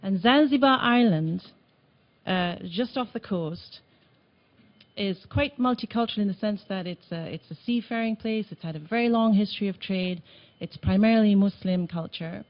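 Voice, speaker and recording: A woman from Tanzania presenting a report at a meeting, speaking earnestly with some halting restarts, in a meeting room. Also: mid-range voice, muffled recording. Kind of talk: conference presentation.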